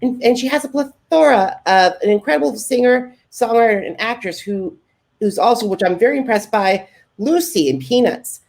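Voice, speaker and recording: A woman hosting an interview podcast, speaking haltingly with stumbles and repeated words. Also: fairly high pitch, creaky, darkish tone. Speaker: podcast host. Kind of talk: podcast interview, reading introduction and questions.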